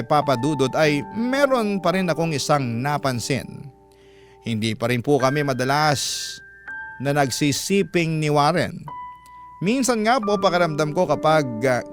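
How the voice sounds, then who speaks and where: A male radio storyteller with a slightly high voice reads a confessional letter. His delivery is dramatic yet measured, earnest and polite. A musical score plays clearly behind him. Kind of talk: dramatic reading of a first-person confession letter.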